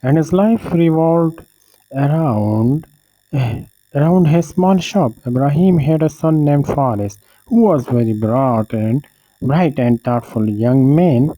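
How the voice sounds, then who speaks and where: A man narrating a scripted moral story in a measured storyteller manner. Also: on the high side, dry recording, dull audio.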